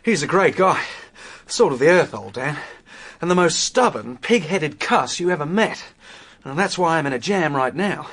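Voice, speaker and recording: A young, wealthy Australian in a radio mystery. The voice is fairly high and husky, rising and falling freely. He is brash and eager, talking in breezy slang. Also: animated, normal speed, a bit bright. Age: young adult. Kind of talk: radio drama acting.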